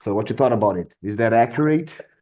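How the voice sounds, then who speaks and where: A man's voice: mid-range voice, lively intonation, fast talker, dark tone. A man with a Brazilian accent hosting a casual podcast chat, playful, laughing often and stumbling over words. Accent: Brazilian Portuguese. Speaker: podcast host and English teacher.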